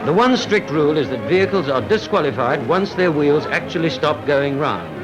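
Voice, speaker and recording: A man's voice: fairly high pitch, big pitch swings, moderate pace, poor audio. A man narrates a vintage sports film. His scripted 1940s commentary is wry and lightly humorous, and prominent music plays behind him on an old soundtrack. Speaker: newsreel-style sports narrator. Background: background music.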